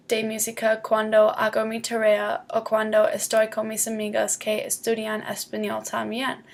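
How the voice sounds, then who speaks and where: Slow, gravelly young woman student. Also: fairly high pitch. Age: young adult. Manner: careful learner speech with grammar slips. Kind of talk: read-aloud school presentation.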